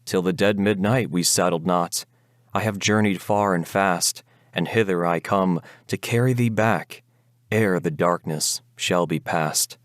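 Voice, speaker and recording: Undead bridegroom on horseback, man performing: commanding, ominous, archaic Gothic verse, dry sound, slow measured delivery. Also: low-pitched, dry recording. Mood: urgent, commanding. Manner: urgent, archaic, imperious speech. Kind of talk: dramatic Gothic ballad recitation.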